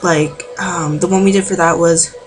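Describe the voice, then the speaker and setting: A woman giving a casual, rambling vocal warm-up tutorial from home, full of filler words. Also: lowish voice, fairly even intonation, moderate pace, a little hiss or hum, low-quality recording. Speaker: amateur singing tutor.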